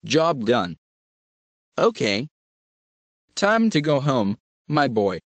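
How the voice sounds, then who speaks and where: A man voicing a stern, skeptical male counselor who speaks in a brisk, matter-of-fact way. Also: mid-range voice, big pitch swings, no room sound.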